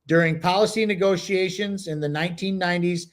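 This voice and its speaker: A man's voice: high voice, steady tone, a little fast. A man co-hosting a podcast, chatting casually with many fillers and reading from notes. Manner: rambling, many "you know" fillers. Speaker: podcast co-host. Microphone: home computer mic, no camera.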